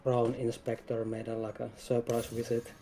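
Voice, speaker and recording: A man talking casually as a guest game developer, hesitant and pausing mid-sentence. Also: medium pitch, monotone, warm tone. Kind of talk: casual livestream chat. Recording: faint music behind the voice.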